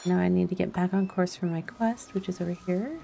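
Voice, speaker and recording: A woman's voice: fairly low pitch, steady tone, a little fast, creaky, dark tone, a little hiss or hum. A woman livestreaming a game with casual, playful, self-deprecating commentary. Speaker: game streamer and host. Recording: music playing behind the voice. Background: background music.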